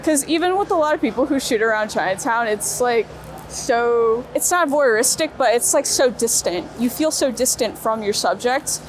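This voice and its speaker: A young woman from Brooklyn, New York, talking casually in informal, slangy speech peppered with "like" and "you know". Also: on the high side. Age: young adult. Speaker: street photographer being interviewed. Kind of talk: casual chat while walking. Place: outdoors on busy city streets. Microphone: wireless clip-on mic. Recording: noisy city street with traffic.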